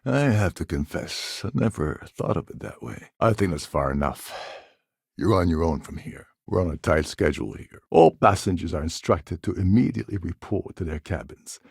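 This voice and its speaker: A weary older pirate captain for a sci-fi video game. His voice sits low and husky, with a dry, close studio sound. He talks in a sardonic, conspiratorial way, like a schemer who has seen it all. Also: lively intonation, moderate pace, no room sound, heavily acted. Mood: wry, guarded. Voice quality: gravelly, husky. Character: weary, wry older space pirate posing as ship captain. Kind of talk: video game character dialogue.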